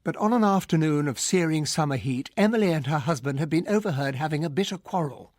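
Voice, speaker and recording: Actor as well-spoken English captain, lightish voice. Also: male voice, a little high, quick pace, no room sound, clean studio sound, natural voice. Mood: grave recollection. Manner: well-spoken, expressive storytelling. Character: English army captain narrating a murder mystery, earnest, indignant. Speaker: narrator and character in a radio drama. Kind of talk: first-person radio drama narration.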